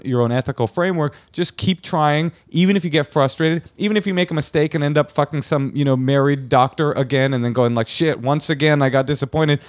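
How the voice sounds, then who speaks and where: A man's voice: medium pitch, dry recording. Podcast co-host, man, loose jokey advice, dry close mic. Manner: rambling, jokey, quotes sayings. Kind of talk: casual advice chat. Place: living room studio with patterned wallpaper. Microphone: handheld mic, headphones.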